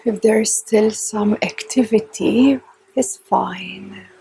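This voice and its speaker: A woman leading a guided meditation in a calm, gentle, soft and breathy voice, with long unhurried pauses between short phrases. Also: mid-range voice, slight background noise, poor audio. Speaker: meditation teacher. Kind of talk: guided meditation, slow and instructional.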